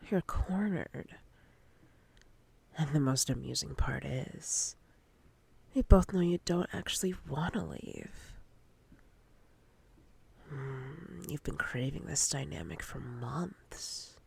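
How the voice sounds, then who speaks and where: A woman playing a domineering, menacing corporate boss in a breathy roleplay ASMR whisper, commanding and condescending in a deliberate way. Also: very deep voice, expressive, exaggerated voice. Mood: smug, teasing.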